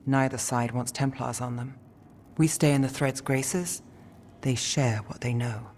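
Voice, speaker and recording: A woman's voice: rumbling low, a little slow, darkish tone, big-room sound, a little hiss or hum. A woman playing a weary, guarded, streetwise investigator in a video game, clipped and wry.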